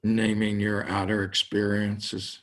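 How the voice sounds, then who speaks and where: An older man leading a guided meditation, speaking in slow, spacious phrases with long pauses. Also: on the low side, monotone, a bit bright. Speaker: meditation teacher.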